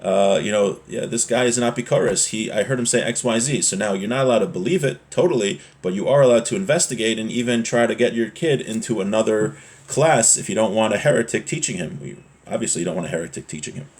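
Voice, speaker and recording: A man's voice: lowish voice, fast talker. A man giving an informal religious lesson as a teacher, reading from a text and explaining it point by point, with rhetorical check questions.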